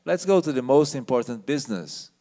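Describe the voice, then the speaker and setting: A man with a low voice giving an emphatic lecture on a handheld mic, asking the audience questions as he goes through slides. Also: big pitch swings, fairly quick, mellow. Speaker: visiting speaker giving a temple talk. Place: temple hall with an audience.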